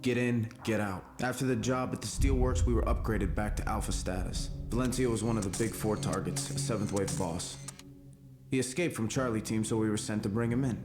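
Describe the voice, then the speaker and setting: A guarded, defiant male soldier played by an actor in a game cutscene. He has a lowish voice and reports in clipped, matter-of-fact phrases. Music is clearly heard behind him. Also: fairly quick, close to an everyday voice. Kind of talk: video game cutscene acting.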